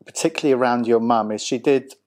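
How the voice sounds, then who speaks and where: A man hosts a sit-down interview, and his voice sits at a middling pitch. He talks in a loose, halting way, with frequent restarts and "um"s as he works toward his questions. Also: a little mellow. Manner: halting, with many restarts and fillers. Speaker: interview host. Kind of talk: conversational interview.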